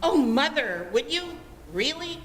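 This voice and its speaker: A woman's voice: high voice, very animated, measured pace. A woman acting an eager, gushing teenage daughter who pleads in an amateur 1940s-style radio comedy. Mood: eager, pleading. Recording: echoey room, faint background noise.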